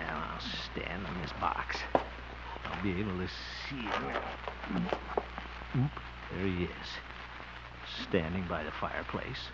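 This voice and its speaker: An actor playing a jealous, bumbling grown man who mutters to himself in a 1950s radio sitcom. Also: sits low. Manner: blustery, flustered muttering to himself. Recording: dull old radio recording with loud hiss.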